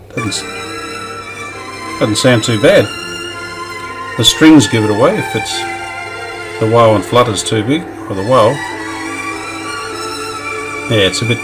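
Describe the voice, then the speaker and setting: A man giving casual, plain-spoken narration of a hands-on restoration job, explaining it step by step without hurry. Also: lowish voice. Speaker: restoration hobbyist presenting his own video.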